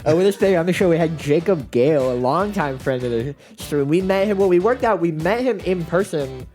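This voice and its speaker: A man's voice: fairly high pitch, expressive, brisk, darkish tone, faint music behind. A young man co-hosting a podcast, upbeat and joking as he introduces and questions the guest. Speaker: podcast co-host. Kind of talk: casual podcast chat.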